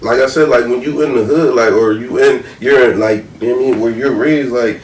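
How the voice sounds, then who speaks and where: A man's voice: medium pitch, steady tone, brisk. An American man in his thirties chatting casually and opinionatedly in slangy, profane speech, repeating his verbal tags. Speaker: podcast panelist. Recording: roomy, distant mic, faint noise.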